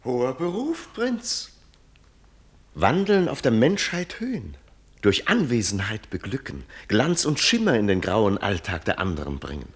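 An actor in a German radio play as a prince's grandiloquent mentor, lofty and declamatory. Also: male voice, medium pitch, wildly swooping pitch, a little slow, breathy edge, heavily acted. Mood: exalted.

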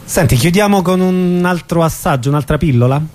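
A man's voice: fairly high pitch, fairly quick, darkish tone. A man interviewing informally on radio, feeling for his words as he puts questions.

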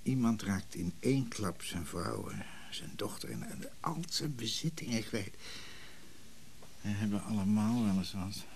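Gravelly, low-voiced middle-aged husband. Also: male voice. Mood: defensive, firm. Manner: hesitant, halting, muttering. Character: henpecked middle-aged husband, evasive, quietly loyal to his brother. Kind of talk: radio drama acting. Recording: radio play with faint background noise.

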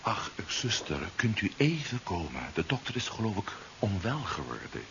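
An actor playing a brusque, impatient, sardonic sci-fi hero in a seventies radio drama. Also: male voice, fairly low pitch, very animated, normal speed. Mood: cold menace. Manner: curt, commanding, sardonic. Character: brusque, impatient male sci-fi hero pursuing his wife. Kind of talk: 1970s Dutch radio drama acting. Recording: dull, hissy 1970s radio recording.

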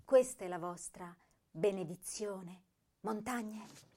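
Young female poet for stage recitation: mid-pitched, very unhurried, melancholy, emotive, deliberate phrasing. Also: a little mellow. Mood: contemplative. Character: sensitive, melancholy young woman poet speaking her verse. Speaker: actress reciting poetry. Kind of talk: dramatic poetry recitation.

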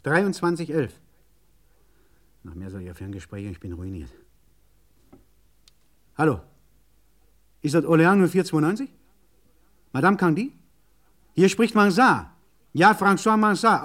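An actor playing an urgent, insistent French car mechanic on the phone in a 1950s German radio play. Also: male voice, high voice, animated, a little slow, pauses often, a bit bright. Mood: urgent, pleading. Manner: urgent, insistent, muttering to himself in asides.

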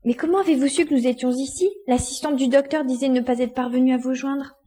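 High, husky, worried young girl played by a woman. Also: fairly even intonation, fast, mellow. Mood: puzzled. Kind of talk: audio drama dialogue.

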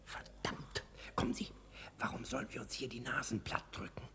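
Fussy impatient director, old radio play, whispery n creaky, grumbling. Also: male voice, mid-range voice, fairly even intonation, normal speed, pushed and bright. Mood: grumbling, hushed. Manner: whispering, throat-clearing. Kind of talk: 1960 German radio crime drama acting.

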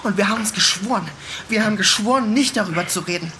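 An actress playing a defiant, sharp-tongued teenage girl in a radio drama, cheeky, slangy and combative. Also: mid-range voice, quick pace, slight background noise, close to an everyday voice. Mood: accusing. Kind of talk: naturalistic German radio drama acting.

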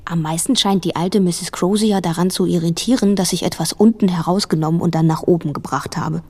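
A woman's voice: lowish voice, fairly even intonation, brisk, a little mellow. An actress playing a bookish, inquisitive girl, narrating and speaking in a radio drama. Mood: observant. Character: bookish, inquisitive girl recalling events as they happen.

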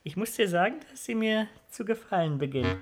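A man's voice: high-pitched, animated, brisk. An actor playing a dissolute, boastful count and womanizer in a radio play, with an affected, effusive manner. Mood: lecherous, playful. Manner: affected, effusive, self-indulgent. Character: dissolute, flippant Russian count, boastful womanizer, theatrically indignant. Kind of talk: radio drama acting.

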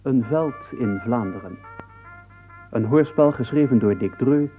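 A man's voice: medium pitch, measured pace, music in the background. A man formally announcing the title and credits of a radio play. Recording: narrow old radio recording.

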